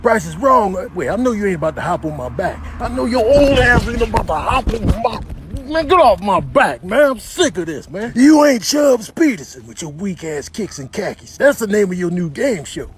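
A man's voice: high voice, big pitch swings, moderate pace, few pauses, a little hiss or hum. A man voicing an angry, insulting animal with slangy threats in a comic voiceover.

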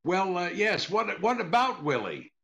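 An older actor playing a dry, exasperated comedian husband in a 1940s-style radio comedy, gravelly, stammering and repeating his questions. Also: high voice, very animated, unhurried, pushed and bright, exaggerated voice. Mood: puzzled.

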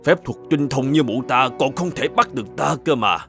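A thousand-year-old eagle from a fairy-tale cartoon, proud and commanding. The delivery is highly animated. Faint music sits underneath. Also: male voice, moderate pace.

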